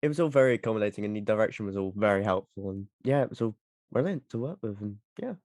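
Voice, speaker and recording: A young man talks casually as a podcast guest. His voice is fairly low and dry, and he speaks earnestly, hesitating as he searches for the next phrase. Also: expressive, fast talker, a little mellow, dry recording. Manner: hesitant, earnest, repeats "you know". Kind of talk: casual chat.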